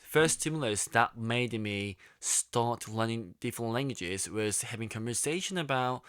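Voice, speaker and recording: A young man with a Korean accent talks to his viewers in a slow, lower voice, full of restarts and fillers. His voice is clear and smooth, recorded close and dry with no room sound. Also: a bit bright, dry recording. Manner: halting, many restarts and fillers, searching for words. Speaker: YouTube vlogger. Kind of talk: casual monologue.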